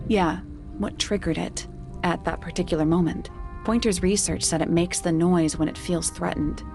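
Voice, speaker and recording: A sharp, pensive game detective, with a lowish voice full of vocal fry. She reasons in terse, measured phrases, with faint music underneath. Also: steady tone. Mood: focused deduction. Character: sharp, pensive female detective partner in a mystery. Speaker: detective character in a mystery game. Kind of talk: video game voice acting, measured deduction.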